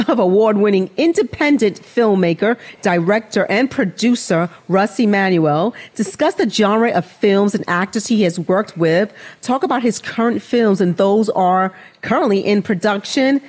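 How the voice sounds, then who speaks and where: A woman's voice: mid-range voice, expressive, moderate pace, hardly any pauses, a little mellow. Woman reading a formal introduction. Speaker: co-host.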